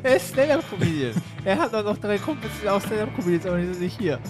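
A man's voice: sits high, lively intonation, fast, over music. A man playing a cocky, blunt, slangy street tough who bosses people around in an improvised comedy audio play. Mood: bossy.

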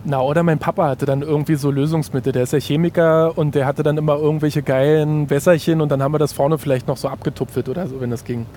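Mellow, quick-talking higher-voiced man. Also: fairly even intonation. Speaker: podcast conversation partner. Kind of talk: casual podcast chat. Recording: faint rumble of outdoor city traffic. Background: faint rumble, vehicles.

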